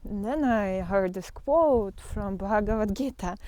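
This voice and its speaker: A woman with a mid-pitched voice speaks slowly and reflectively on spiritual matters. She thinks aloud as she goes, leaving pauses and earnestly restating phrases for emphasis. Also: warm tone. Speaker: spiritual teacher and artist. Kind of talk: reflective spiritual talk, unscripted monologue.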